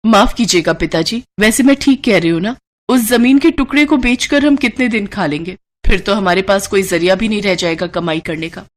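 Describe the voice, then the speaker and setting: A woman's voice: mid-range voice, fairly quick, close to an everyday voice. A woman voicing a sensible young daughter-in-law in a moral story, speaking politely but firmly.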